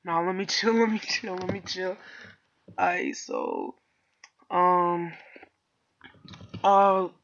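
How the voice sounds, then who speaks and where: A man's voice: high voice, muffled recording. A man with a congested, stuffy voice, talking casually as a YouTuber, rambling in slang with joking asides. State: congested, sick. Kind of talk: casual solo video commentary.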